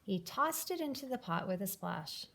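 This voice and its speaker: Creaky-voiced woman reading a children's story. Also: mid-range voice, big pitch swings, normal speed, a bit bright. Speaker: storybook reader. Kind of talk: scripted narration of a children's folktale.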